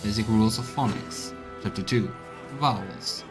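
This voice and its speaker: A man's voice: on the low side, moderate pace, music in the background. A man streaming a game, reading texts aloud and musing on them in gameplay commentary. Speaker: let's play streamer.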